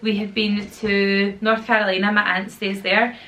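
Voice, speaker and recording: Chatty Glaswegian mum with a sore throat. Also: female voice, medium pitch, flat delivery, moderate pace, bright, forceful tone, slight background noise, low-quality recording. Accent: Glaswegian Scottish. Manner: chatty, rambling, slangy. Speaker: YouTuber and mum. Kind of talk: casual Q&A chat to camera. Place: at home with children nearby.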